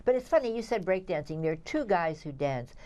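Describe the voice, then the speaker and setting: A woman's voice: fairly low pitch, big pitch swings, fairly quick, warm tone. A woman co-hosting a theatre review show as a critic, chatting in a digressive way and searching for words.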